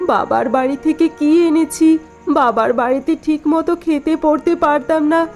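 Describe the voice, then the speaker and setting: A woman playing a high-voiced, meek young bride. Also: natural voice. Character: gentle, dutiful young new bride, meek and patient. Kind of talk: cartoon voice acting.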